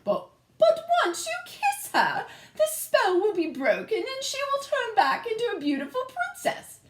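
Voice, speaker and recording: A woman's voice: very high voice, wildly swooping pitch, measured pace, voice pushed up, full character voice. A woman voicing a haughty, scornful queen in a children's storybook, with an imperious, declamatory manner. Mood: pleading, persuasive.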